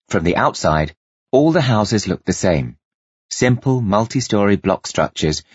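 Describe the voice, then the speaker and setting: Deep, husky male audiobook narrator. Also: no room sound, dull audio. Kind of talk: dramatic scripted narration.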